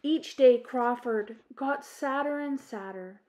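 A woman with a Canadian accent reading a children's storybook aloud as an expressive storyteller. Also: high-pitched, slow. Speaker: storytime host, reader. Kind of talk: children's storybook read-aloud, scripted narration.

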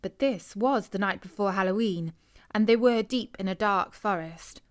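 A woman's voice: medium pitch, moderate pace, smooth. Woman narrating a children's story. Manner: expressive, acts out the dialogue.

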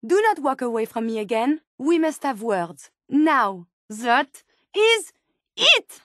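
A high, closely recorded female voice with a French accent, an earnest video game medical robot who is learning to be human. She speaks in formal, carefully chosen words, with open curiosity and tender feeling. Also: takes it slowly, dry recording, clean studio sound, exaggerated voice. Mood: stern, reproachful. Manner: precise, formal, expressive diction. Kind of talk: video game character dialogue.

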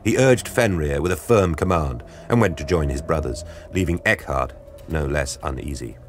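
A man narrating an audio drama in a grave, measured storytelling style. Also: very deep voice, animated. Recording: studio narration over music. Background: dramatic music.

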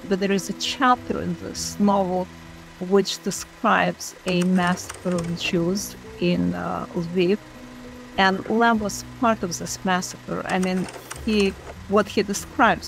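A woman's voice: lowish voice, gravelly, a little hiss or hum, poor audio. A middle-aged woman giving unscripted commentary as an academic expert in an interview. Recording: music behind the voice.

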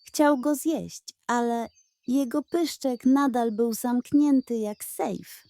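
Slow, soothing woman storyteller. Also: on the high side, vocal fry, no echo, clean studio sound. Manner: slow, gentle, expressive. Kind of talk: bedtime story narration.

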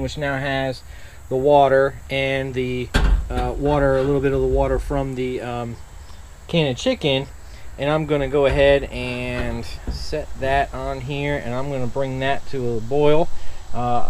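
A man hosting an outdoor cooking video, explaining each step at a relaxed pace with plenty of fillers and restarts. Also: medium pitch, noisy background. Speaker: outdoor cooking channel host. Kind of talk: casual cooking demonstration. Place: outdoors at a truck tailgate by a camp stove.

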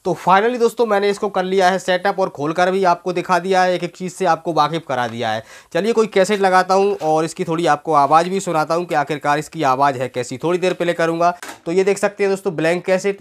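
A man gives a casual, explanatory product demo, addressing viewers as friends and repeating himself as he goes. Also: a little high. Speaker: presenter demonstrating audio equipment for sale.

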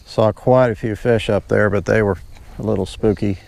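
Low, creaky middle-aged man on a boat. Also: fairly even intonation, darkish tone. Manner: unhurried, short offhand remarks while fishing. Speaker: fishing guide demonstrating technique. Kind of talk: casual instructional fishing commentary. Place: outdoors on an open boat on a lake. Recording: clear outdoor noise, clicks of gear.

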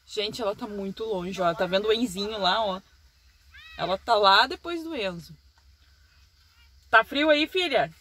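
Bright-voiced southern Brazilian woman, casual vlogging. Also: mid-range voice, animated, a little slow, long pauses. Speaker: vlogger mother. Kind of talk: casual family vlog chat. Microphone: phone at arm's length. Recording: noisy outdoor phone video.

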